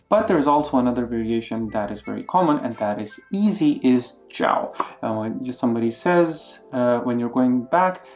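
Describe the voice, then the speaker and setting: A young man giving casual, upbeat language tips, now and then acting out greetings with big jumps in pitch. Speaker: language learning vlogger. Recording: soft music underneath.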